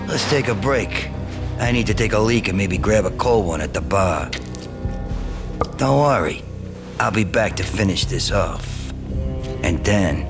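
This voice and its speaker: A man playing a crude, cocky, sadistic mafia goon in a video game, casually menacing. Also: on the low side.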